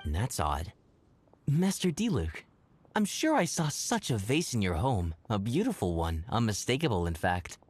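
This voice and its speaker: A polite, puzzled video game townsman. His voice swings hugely in pitch, with faint noise behind it. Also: wildly swooping pitch, measured pace, a little mellow. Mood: puzzled, admiring. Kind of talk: video game cutscene voice acting.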